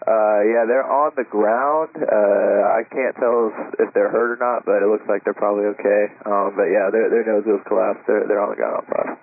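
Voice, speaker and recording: A man giving unscripted, halting traffic reports over an aviation radio. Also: on the low side, fairly even intonation. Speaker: pilot reporting on local radio frequency. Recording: tinny, noisy air traffic radio.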